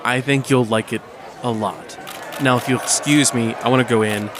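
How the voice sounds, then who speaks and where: A man's voice: medium pitch, fairly even intonation, normal speed, a crowd in the background, background noise, lightly acted. A man playing a diplomatic, encouraging superhero theatre director in an audio drama. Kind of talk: audio drama dialogue, speech to cast.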